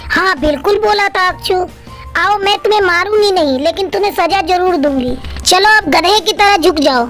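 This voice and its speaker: A woman's voice: very high voice, normal speed, fairly bright tone, faint music behind. A woman playing an arrogant, strict female tuition teacher who scolds pupils in a cartoon story. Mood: stern, sly. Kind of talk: Hindi cartoon story dialogue.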